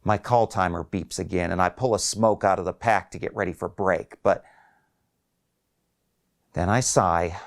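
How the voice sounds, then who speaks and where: A man's voice: deep voice, measured pace, creaky, long pauses. A man reading his own short story as a scripted first-person narration.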